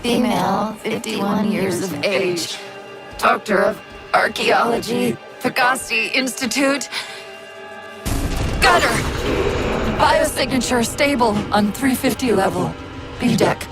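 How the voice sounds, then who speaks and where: An actress playing a devoted, human-sounding female AI in a video game, speaking precisely and deliberately. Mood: strained, focused. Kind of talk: video game cutscene acting. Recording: loud music and engine rumble behind.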